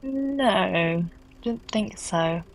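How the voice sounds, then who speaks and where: A woman with a slightly husky voice, chatting casually while playing a video game. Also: mid-range voice, lively intonation, extremely slow. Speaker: gaming video co-host. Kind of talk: casual let's play chat.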